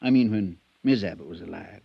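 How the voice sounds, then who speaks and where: A man's voice: low voice, brisk, gravelly, slightly rough, exaggerated voice. A man playing a loyal, worried stable hand in a 1950s radio drama, speaking in a folksy, hesitant, respectful way. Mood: reflective. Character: deferential, plain-spoken male stable hand, loyal and worried. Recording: dull, muffled vintage radio recording.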